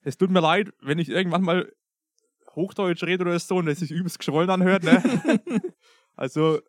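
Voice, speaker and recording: A man's voice: high-pitched, normal speed, dry recording, clean studio sound. A man with a Franconian accent, a sheep keeper chatting casually and with jokes as a podcast guest. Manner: dialect-heavy, laughing, jokey. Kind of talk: casual chat. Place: sheep barn.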